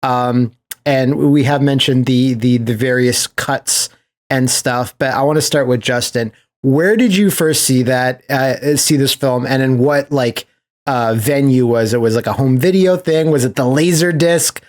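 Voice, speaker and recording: A man's voice: mid-range voice, a little slow. A man hosting a podcast, chatting casually in an enthusiastic, rambling way. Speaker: podcast host.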